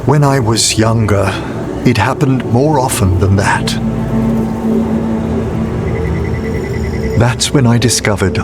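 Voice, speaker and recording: An actor playing a genteel, middle-aged necromancer in a fantasy video game, with formal, eloquent and measured diction. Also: male voice, medium pitch, close to an everyday voice. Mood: haunted, grave. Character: genteel, learned necromancer man, courtly and wordy. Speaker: companion character in a fantasy game. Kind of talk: dramatic video game dialogue. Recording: music behind the voice.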